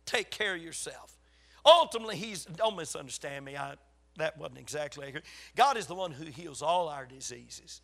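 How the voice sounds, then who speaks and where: An older man with a Southern US accent preaching in a folksy, emphatic storytelling way, his voice slightly raspy from a lingering cold. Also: on the high side, animated, brisk. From South Carolina, USA. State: getting over a cold. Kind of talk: sermon to a congregation.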